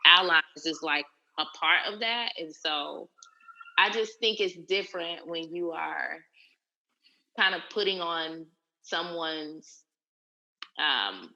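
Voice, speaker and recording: A woman's voice: low-pitched, animated, takes it slowly, pushed and bright, rough recording. A woman in a casual discussion, explaining her point carefully and patiently.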